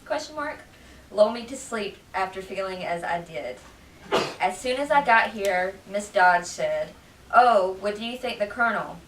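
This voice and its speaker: A woman's voice: medium pitch, animated, unhurried, a bit bright. A woman reading old personal letters aloud as a dramatic reading at a public event. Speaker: reader at a public library event. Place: library room, reading to a small gathering. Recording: roomy, distant event recording with faint noise.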